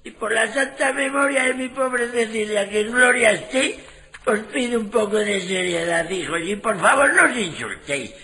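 A man plays a fretful old patriarch from a comic radio serial. His voice is very high and piercingly bright. He pleads for peace, repeating himself emphatically. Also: expressive, moderate pace, full character voice. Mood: pleading. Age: older. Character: fretful octogenarian grandfather, peacemaking family patriarch.

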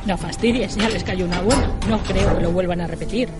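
A woman's voice: on the low side, brisk, loud music behind the voice, natural voice. A woman with an accent from Spain playing a confident, sardonic colleague in a radio drama.

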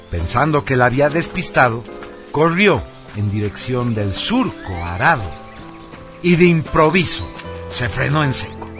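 A man narrates a radio drama with theatrical solemnity. He takes his time, swinging widely in pitch over a bed of music. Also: fairly bright tone.

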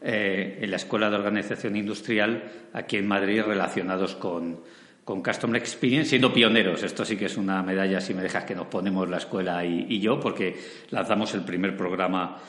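A man's voice: low voice, steady tone, brisk, a bit bright. A middle-aged man with an accent from Spain speaks enthusiastically and conversationally as an interview guest. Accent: Spanish, from Spain. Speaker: interview guest, customer experience executive and teacher. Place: large event room, indoors. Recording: echoey, reverberant hall.

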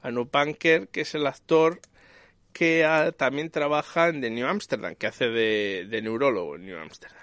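A man's voice: medium pitch, moderate pace, a bit bright, no echo, studio quality. A man giving a casual, rambling review as a conversational host. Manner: rambling, searching for details. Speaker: series reviewer and podcast host.

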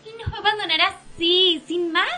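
A woman's voice: very high voice, normal speed, pushed and bright, faint music behind. An Argentine woman playing a haughty, vain, easily flustered society villainess in a comic radio play, with theatrical gushing delivery.

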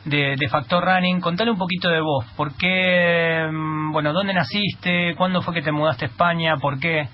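A man with a Rioplatense Argentine accent and a somewhat high voice hosts a radio interview. He often stumbles and repeats words as he restarts his sentences. The sound is muffled and lo-fi, with faint noise underneath. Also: fairly even intonation, moderate pace. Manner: hesitant, many repeated restarts. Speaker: radio host.

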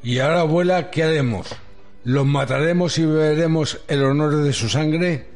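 A man's voice: fairly high pitch, normal speed, a little mellow, soft background music, lightly acted. Man playing an earnest young warrior. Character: brave young male warrior in love. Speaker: amateur radio drama actor.

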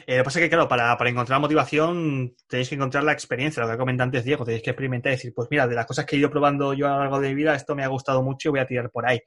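A man leading a group discussion on a video call, energetic and addressing everyone. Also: mid-range voice, very fast, hardly any pauses. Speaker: course leader.

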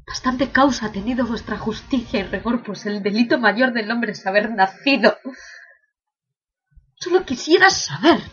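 A woman's voice: fairly high pitch, lively intonation, normal speed, lo-fi sound, lightly acted. A woman playing an anguished imprisoned prince in a declamatory, impassioned classical verse monologue, bitterly questioning heaven. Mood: anguished lament, then indignant questioning.

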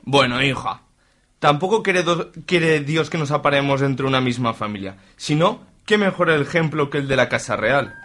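A man's voice: medium pitch, lively intonation, moderate pace, a bit bright, close to an everyday voice. A man playing a conservative middle-aged father in a comic radio soap, cajoling and breezy in a falsely sweet way. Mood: coaxing.

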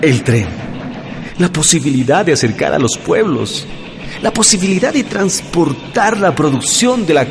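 A man's voice: medium pitch, long reverb tail. A man playing an earnest, passionate young teacher in a radio drama. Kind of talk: Spanish-language radio drama acting.

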